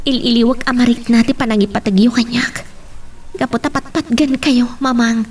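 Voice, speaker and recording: A Filipino woman playing a dutiful, soft-hearted young daughter in a radio drama. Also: fairly high pitch, fairly even intonation, normal speed, a little hiss or hum, natural voice. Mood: earnest.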